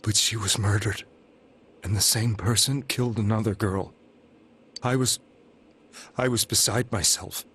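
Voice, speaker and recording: An American man voicing a troubled middle-aged game character who confesses with halting, anguished earnestness, full of guilt and grief. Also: on the low side, steady tone, fairly bright tone, breathy edge, full character voice. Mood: grieving, dejected. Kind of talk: dramatic video game monologue.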